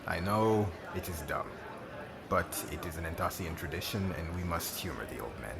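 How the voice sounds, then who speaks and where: A man playing a solemn, diplomatic king in an ASMR roleplay, speaking in a formal, measured, oratorical way. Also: deep voice, creaky, crowd noise. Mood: gentle, apologetic. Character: solemn diplomatic young king addressing subjects and friend.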